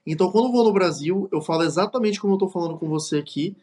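A man's voice: high-pitched, very fast, a little mellow. A young Brazilian man who has picked up some European Portuguese words. He talks casually as a podcast guest, often repeating words and restarting. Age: young adult. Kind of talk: casual interview conversation.

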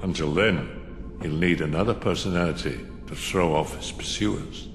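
Male actor as cold aged video game schemer, very low voice, grave, imperious, long hall reverb. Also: moderate pace, over music, close to an everyday voice. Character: cold, scheming, aged robed male mastermind, solemn and disdainful. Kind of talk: dramatic video game voice acting.

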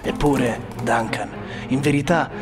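An actor playing a troubled, ambitious warrior lord in a brooding Shakespearean radio soliloquy. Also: male voice, mid-range voice, takes it slowly, over music, close to an everyday voice. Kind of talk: theatrical Shakespearean radio drama.

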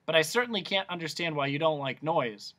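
A man's voice: a little high, animated, fairly quick, sharp tone. Storybook creature: a bellowing male cloud of smog, childish and sulky, read by a man. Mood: anguished. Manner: roaring, laughs at own puns.

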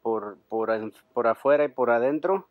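A man, chatting casually as he does a repair, relaxed and self-deprecating, with halting restarts. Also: mid-range voice, dry recording, phone-quality sound. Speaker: trucker vlogger. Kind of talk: casual DIY commentary. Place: outdoors in a driveway by a garage.

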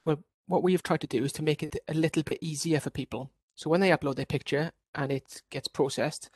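A man's voice: on the high side, steady tone, a little fast, darkish tone, no echo, studio quality. A man from Wales giving an online workshop presentation as a researcher, explaining steadily with occasional restarts over a video call.

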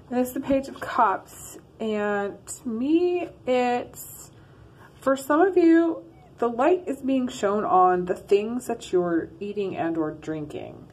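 A woman's voice: fairly high pitch, expressive, slow, a little mellow. A woman giving a casual one-card tarot reading to her followers, rambling with many ums and restarts. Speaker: tarot reader. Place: in her car.

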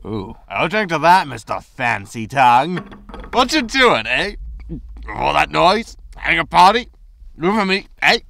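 A man playing a greedy, hapless spaceship scavenger who mutters excitably to himself in a sci-fi comedy audio drama. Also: a little high, a little slow, vocal fry, a little hiss or hum, exaggerated voice. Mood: tipsy, taunting. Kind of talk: science fiction comedy audio drama acting.

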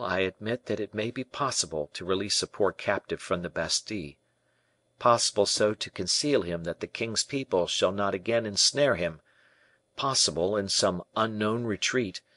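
A man's voice: low voice, moderate pace, bright, forceful tone, no echo. A man reading a storybook part as a young imprisoned prince, proud, embittered and hot-blooded, in formal archaic speech. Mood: sceptical, challenging. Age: young adult. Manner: formal archaic speech, rhetorical questions, impassioned.

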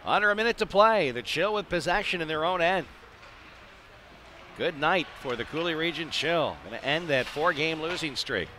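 A man's voice: a little high, animated, normal speed, pushed and bright, a little hiss or hum. A man doing live play-by-play hockey commentary in clipped phrases with chatty asides. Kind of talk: live radio-style sports commentary. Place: indoor ice arena.

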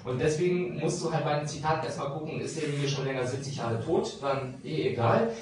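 A man's voice: on the high side, brisk, crowd noise. A man in his thirties, a lawyer, explaining things informally to a pub audience with examples, speaking into a handheld microphone. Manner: explaining with examples, lively and direct. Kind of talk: informal talk answering audience questions. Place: small crowded pub room. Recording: very echoey room from far off, noisy.

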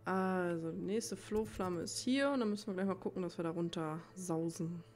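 Woman doing a chill let's play, kinda creaky, mumbles thoughts out loud over game music. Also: on the low side, normal speed. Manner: musing, thinking aloud to herself. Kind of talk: casual gameplay commentary.

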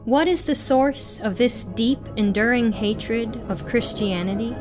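A young woman reading a written article aloud as narration, in a deliberate, formal manner. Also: fairly high pitch. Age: young adult. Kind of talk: scripted read-aloud narration. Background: music.

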